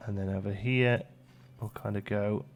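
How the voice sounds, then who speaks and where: A man's voice: on the low side, steady tone, moderate pace. A man hosting a let's play, chatting casually and thinking aloud as the game goes along. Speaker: gaming video host.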